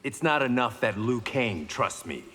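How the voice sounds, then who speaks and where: A man's voice: medium pitch, very animated, unhurried, fairly bright tone, big-room sound, a little hiss or hum. An actor playing a stoic, dry-humored blind swordsman in a fighting game, terse and guarded. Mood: confident, guarded. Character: stoic blind swordsman, reformed male criminal, proud and dry. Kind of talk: video game pre-fight banter.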